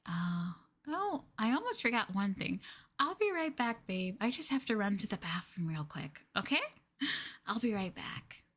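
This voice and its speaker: A woman's voice: medium pitch, sing-song, normal speed, a bit bright, breathy edge. A woman playing an obsessively possessive yandere girlfriend in an ASMR roleplay, cooing and coaxing. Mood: coaxing, affectionate. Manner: cooing, coaxing, with murmurs and giggles.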